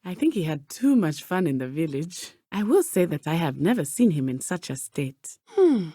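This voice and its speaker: A woman's voice: fairly low pitch, big pitch swings, moderate pace, mellow, slightly rough, dry recording. A woman with a Zimbabwean accent voicing a brave, earnest teenage witch in a video game, speaking in formal, precise phrases. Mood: earnest, concerned. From southern Africa (Matabeleland). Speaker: student companion character in a video game.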